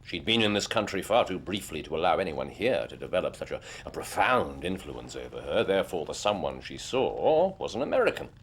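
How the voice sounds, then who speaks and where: An actor playing a brilliant, urbane English detective in a period radio drama, speaking precisely and theatrically. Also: male voice, low-pitched, sing-song, fairly quick, hardly any pauses, fairly bright tone, slight background noise, heavily acted. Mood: confident, analytical.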